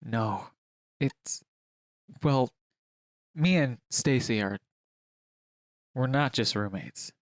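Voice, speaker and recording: A man voicing a patient, earnest woman who explains herself haltingly in a comic story. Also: medium pitch, no room sound, pitched below the performer's own voice. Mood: awkward.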